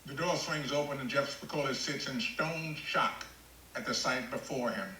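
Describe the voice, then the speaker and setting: A man's voice: mid-range voice, normal speed, sharp tone, roomy sound, slight background noise, poor audio. A man reading stage directions as scripted screenplay narration at a table read.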